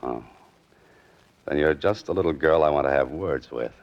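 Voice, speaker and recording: A very low-voiced male writer on the run, cool and wry. He tosses off deadpan wisecracks in 1940s radio detective style, on a muffled old-time radio recording. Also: normal speed. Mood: dry, guarded.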